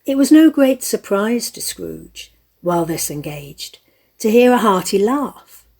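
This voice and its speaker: A woman narrating a scripted story for an audio play, reading in a measured, expressive way. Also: medium pitch, gravelly, slightly rough.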